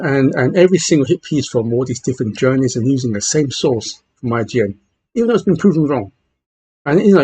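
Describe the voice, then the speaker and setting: A man's voice: mid-range voice, darkish tone, husky, no room sound, rough recording. A middle-aged man who grew up in China, speaking with an English accent and a trace of his native Cantonese, giving casual gaming commentary full of restarts and repeated words. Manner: talks in bursts, many restarts and repeated words. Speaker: gaming channel host.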